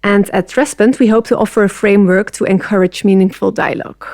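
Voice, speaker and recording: A woman's voice: mid-range voice, steady tone, fairly quick, darkish tone, dry recording. Composed woman, dry close sound. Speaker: program representative. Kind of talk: prepared statement.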